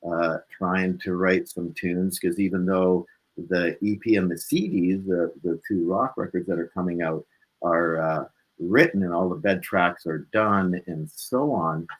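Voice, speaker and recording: A man's voice: low-pitched, unhurried, husky, rough recording. A man speaking as a musician guest in a casual interview, telling stories in a rambling, easygoing way. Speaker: musician guest on a talk show.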